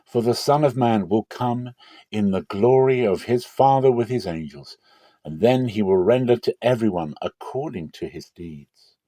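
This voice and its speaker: A man acts out a solemn prophet and teacher in a dramatic scripture reading. The voice is low and mellow, grave and deliberate, with emphatic authority. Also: no echo, close to an everyday voice. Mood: solemn, urgent.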